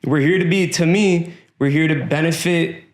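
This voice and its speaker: A man's voice: a little high, normal speed. Earnest interviewer guy from iran, lots of yeah and right, repeats stuff. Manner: earnest, affirming, repeats himself. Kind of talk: casual interview chat.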